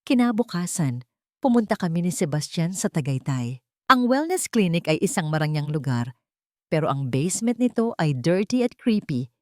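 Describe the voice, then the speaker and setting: Filipino woman narrator for audio drama: lowish pitch, dry intimate recording, scripted first-person storytelling. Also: big pitch swings, moderate pace, dry recording.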